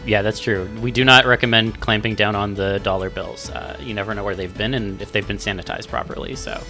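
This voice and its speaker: A man's voice: fairly low pitch, steady tone, fairly quick, pushed and bright, soft background music. A man hosting a podcast, chatting in an upbeat, easygoing way. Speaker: podcast host. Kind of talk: casual podcast chat.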